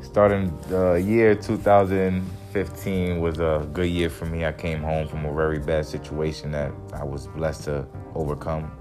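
A man's voice: rumbling low, flat delivery, moderate pace, few pauses, over music. A man in his thirties, of Dominican background and from Jersey City, talking about himself as a guest in a casual, laid-back way. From Dominican, raised in Jersey City. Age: 30s. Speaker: guest, music producer. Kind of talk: casual interview chat.

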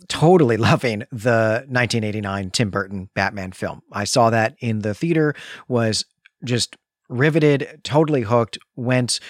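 A man's voice: medium pitch, no room sound. Man co-hosting a podcast, dry close sound. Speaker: podcast co-host. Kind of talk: casual conversational chat.

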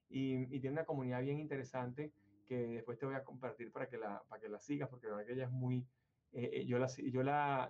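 A man's voice: medium pitch, darkish tone. A man from Venezuela hosting an interview, talking at length in a rambling, hesitant way with many fillers and restarts. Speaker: interview host. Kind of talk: conversational interview. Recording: dull lo-fi sound.

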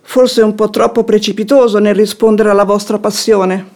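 An actress playing a shrewd, composed wife who feigns affection, with formal, eloquent theatrical phrasing in a radio drama. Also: female voice, mid-range voice, steady tone, moderate pace, darkish tone, lightly acted. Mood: coy, confiding. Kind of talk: theatrical radio drama acting of classic comedy.

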